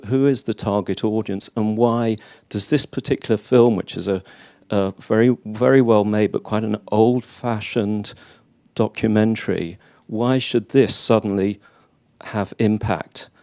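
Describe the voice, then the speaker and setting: A man speaking from the audience at a panel discussion, putting a critical question in a deliberate, challenging manner. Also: fairly low pitch, darkish tone, no echo. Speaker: audience member, documentary filmmaker.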